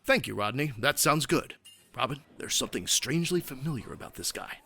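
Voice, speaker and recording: An earnest radio superhero, punchy bright voice, really animated, declaims everything. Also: male voice, mid-range voice, fairly quick, creaky, breathy edge, exaggerated voice. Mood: earnest, curious. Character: earnest, heroic masked male crime fighter. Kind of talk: old-time radio style superhero drama.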